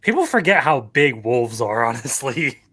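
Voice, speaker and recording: A man who is a guest on a casual livestream podcast, relaxed and conversational, chiming in. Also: mid-range voice, normal speed, breathy edge.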